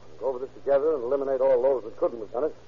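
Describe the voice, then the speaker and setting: A helpful, methodical business owner with a very husky, rough voice who talks quickly. It is an old radio recording with a dull, lo-fi sound. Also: male voice, mid-range voice. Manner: cooperative, methodical. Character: helpful middle-aged bakery owner. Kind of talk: 1940s radio acting.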